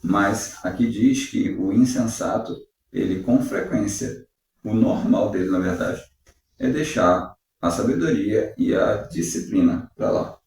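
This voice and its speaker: Mellow man in an echoey room. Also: on the low side. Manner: measured, uses rhetorical questions. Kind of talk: reflective devotional talk. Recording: very echoey room, distant mic.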